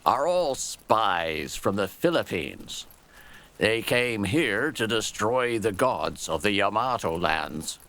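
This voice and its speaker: A man's voice: fairly low pitch, big pitch swings, a bit bright, slight background noise, full character voice. A man voicing a scornful, vehement old soldier. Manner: emphatic, contemptuous, drawn-out key words. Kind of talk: storybook character dialogue.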